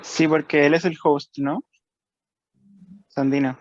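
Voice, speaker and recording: A man moderating a video call, asking quick, informal questions about screen sharing. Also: a little high, little pitch movement, dry recording, lo-fi sound. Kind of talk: casual chat.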